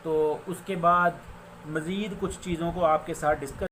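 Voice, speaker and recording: A man with a Pakistani accent giving an explanatory law lecture, deliberate and given to restating his phrases. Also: fairly high pitch, steady tone, sharp tone, low-quality recording. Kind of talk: explanatory law lecture mixing Urdu with English legal terms. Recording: faint background noise.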